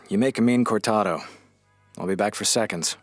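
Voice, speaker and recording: A man playing a groggy, sardonic radio morning host in a comedy audio drama, with a dry, halting delivery. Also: lowish voice, dry recording, faint music behind, lightly acted. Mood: dry, flustered.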